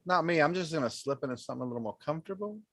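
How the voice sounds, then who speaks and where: A man's voice: on the high side, expressive, rapid-fire, a bit bright, breathy edge, no room sound, studio quality. A man bantering casually on a podcast in a playful, mock-fussy way.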